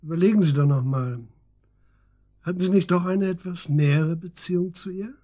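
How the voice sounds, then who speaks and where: An actor playing a persistent police detective questioning a suspect in a radio drama, matter-of-fact and probing. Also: male voice, sits high, normal speed, warm tone. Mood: insistent, matter-of-fact. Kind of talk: naturalistic German radio drama acting.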